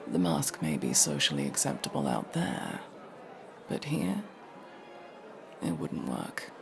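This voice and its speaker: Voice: medium pitch, creaky, exaggerated voice. A performer voicing a guarded, sardonic masked elf rogue in a casual ASMR roleplay, speaking to the listener with hesitant asides. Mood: focused, conspiratorial. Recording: music playing behind the voice.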